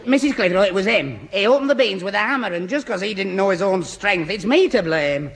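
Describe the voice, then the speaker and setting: A man's voice: high voice, expressive, a little fast, fairly bright tone. A man playing a dim, well-meaning young pal who stammers and muddles along in a radio sitcom. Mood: muddled. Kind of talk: 1960s radio sitcom acting.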